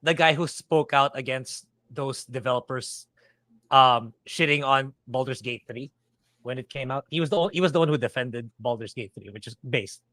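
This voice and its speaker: Man, bright forward voice, dry close mic, opinionated, stammers into points. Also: medium pitch, moderate pace, no room sound. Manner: opinionated, hesitant starts. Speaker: podcast guest. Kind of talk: casual podcast chat.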